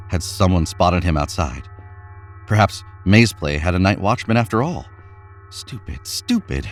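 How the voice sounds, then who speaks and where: A man reading a horror story aloud in a measured, suspenseful way. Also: very deep voice. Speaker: narrator. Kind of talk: scripted horror story narration.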